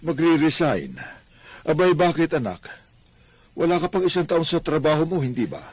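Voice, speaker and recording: An actor playing a kindly, devout middle-aged Filipino father and landlord in a radio drama, speaking gently and earnestly with small hesitations. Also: on the high side, expressive, moderate pace, husky, no echo. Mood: concerned.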